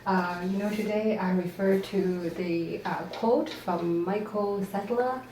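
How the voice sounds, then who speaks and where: A woman teacher, answering interview questions thoughtfully with frequent fillers and pauses. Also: medium pitch, warm tone. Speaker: visiting teacher from Hong Kong. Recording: roomy, distant mic, faint room noise.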